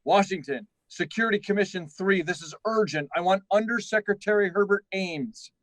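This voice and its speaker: A man's voice: high voice, moderate pace, fairly bright tone, dry recording, rough recording. A man playing an anxious, urgent security officer at an air base in a radio drama. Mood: urgent insistent. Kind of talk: live radio drama acting.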